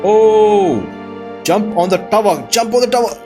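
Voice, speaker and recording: A man's voice: high-pitched, lively intonation, unhurried. A man hosting a playthrough, thinking aloud and exclaiming as he gives casual gameplay commentary. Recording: game music behind the voice.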